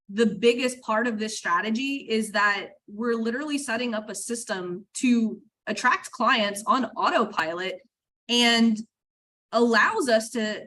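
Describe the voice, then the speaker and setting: Bubbly woman coach, fast bursts, lots of likes, a bit higher voice. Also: a bit bright. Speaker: business coach, host. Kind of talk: interview chat.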